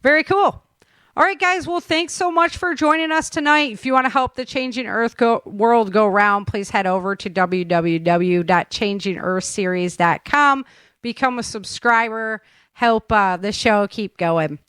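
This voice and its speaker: A woman's voice: a little high, unhurried, a bit bright, smooth, no room sound, clean studio sound. A woman hosting a podcast in a chatty, informal way, moving between news rundown and casual talk. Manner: chatty, informal, addresses listeners as guys. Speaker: podcast host.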